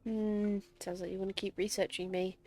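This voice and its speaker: A woman's voice: medium pitch, little pitch movement, normal speed, dark tone, no room sound. A woman streaming a game, giving casual, playful reactions and wry, jokey asides. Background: game music.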